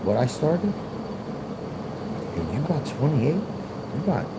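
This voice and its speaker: A man's voice: medium pitch, big pitch swings, slow. A man in his forties with a Philadelphia accent, rambling and repeating himself in a livestream monologue. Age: 40s. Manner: rambling, repetitive, argumentative. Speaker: livestream host. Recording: loud background noise, air conditioner hum.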